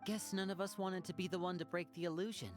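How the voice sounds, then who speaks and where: A woman's voice: on the low side, steady tone, fast talker, music in the background, lightly acted. A woman playing an easygoing, wry adventuring companion in a video game, in a conversational manner. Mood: amused.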